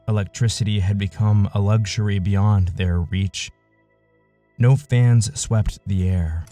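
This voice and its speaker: A man narrating a scripted literary audio story in a measured, solemn manner. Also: lowish voice, mellow.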